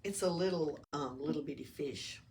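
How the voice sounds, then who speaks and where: A woman reading a children's story aloud with dramatic emphasis, sometimes hushed, recorded at a distance in a library. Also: sits low, normal speed. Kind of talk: expressive children's read-aloud. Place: small town library. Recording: distant mic with room noise.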